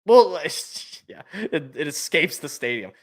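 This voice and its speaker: A man co-hosting a casual sports podcast, loud and excitable, stumbling over repeated words, his voice worn out. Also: fairly high pitch, unhurried. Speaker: podcast co-host.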